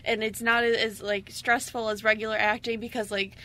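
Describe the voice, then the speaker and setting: A woman's voice: on the high side, flat delivery, normal speed, pushed and bright. A woman chatting casually on a radio panel, gushing and peppering her talk with "like.". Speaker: radio show cast member. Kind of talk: casual group radio chat.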